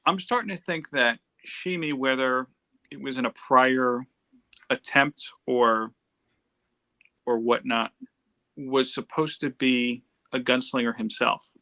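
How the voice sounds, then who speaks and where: A man with a mid-pitched, bright and forceful voice chats as a livestream panelist. He talks casually and tends to ramble into side stories. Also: slow, dry recording. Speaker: panelist on a comics discussion stream. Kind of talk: casual chat. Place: remote group livestream.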